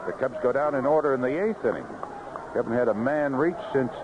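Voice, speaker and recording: A man's voice: medium pitch, fairly quick, lo-fi sound, background noise. A man calling live baseball play-by-play as a radio announcer on a vintage 1970s broadcast. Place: outdoor ballpark broadcast booth.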